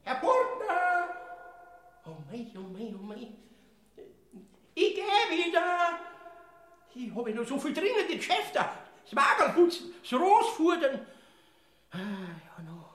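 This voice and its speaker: Boandlkramer, a jittery whining Death figure. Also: male voice, very high voice, big pitch swings, takes it slowly, long pauses, fairly bright tone, full character voice. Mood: nervous, fretful. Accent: Bavarian. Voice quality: whiny, stammering, sheepish. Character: Boandlkramer, timid sly old male Death figure. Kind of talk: classic radio play acting.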